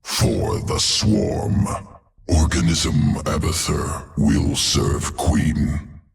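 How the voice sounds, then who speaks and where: Croaking, cold alien organism. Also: male voice, extremely low pitch, very slow, exaggerated voice. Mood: dutiful. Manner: clipped, article-free, detached phrases. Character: alien insectoid organism servant, cold, clinical, loyal. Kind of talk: video game character acting.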